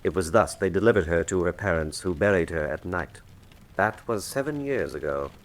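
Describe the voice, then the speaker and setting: Grave worldly cardinal in a radio play, lowish voice, dignified but with lively dramatic intonation. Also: male voice. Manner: measured, dignified, dramatic storytelling. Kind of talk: full-cast radio drama acting.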